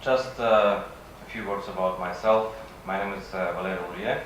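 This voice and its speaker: A man with a Russian accent, a native Russian speaker, giving a halting conference presentation with frequent pauses. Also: deep voice, fairly even intonation, fairly bright tone. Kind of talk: conference presentation, semi-improvised. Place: conference session room. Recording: echoey room from far off, faint noise.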